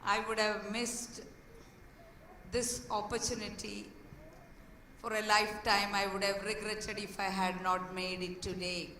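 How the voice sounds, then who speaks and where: Hoarse woman, school head, earnest event speech, bright voice, echoey hall, distant mic. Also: mid-range voice, level tone, measured pace, a little hiss or hum. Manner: earnest, with fillers and restarts. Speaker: guest speaker, school head. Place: large hall.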